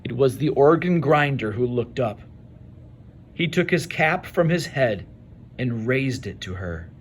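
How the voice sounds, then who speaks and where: Male children's story narrator. Slow, very varied intonation, dramatic pauses. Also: mid-range voice, animated, slight background noise. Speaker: storybook reader. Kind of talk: expressive children's read-aloud narration.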